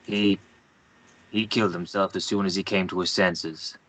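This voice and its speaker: A man's voice: low-pitched, steady tone, normal speed, fairly bright tone, poor audio. A man playing a curt, grave Guatemalan newspaperman in his thirties at a screenplay table read. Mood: grim, haunted.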